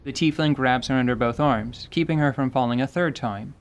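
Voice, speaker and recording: A man narrates a scripted fantasy adventure as a storyteller. His voice sits at a medium pitch, in the style of a radio drama. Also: fairly quick.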